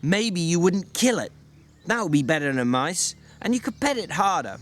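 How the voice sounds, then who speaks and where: Irritable, exasperated male drifter played by a man reader for a dramatic audiobook, high-pitched, ranting delivery. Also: sing-song, normal speed. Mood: placating. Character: small, irritable, exasperated ranch drifter man, secretly caring. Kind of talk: dramatic reading of a novel.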